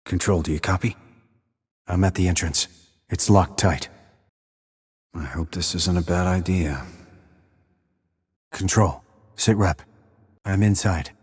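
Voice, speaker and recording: Low, husky, clipped military sniper. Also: male voice, slow, long pauses, dry recording, studio quality, heavily acted. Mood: cool, businesslike. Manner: clipped, controlled military delivery. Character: terse male military sniper operative, calm and focused. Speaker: voice actor in a video game. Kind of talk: tactical radio call acting.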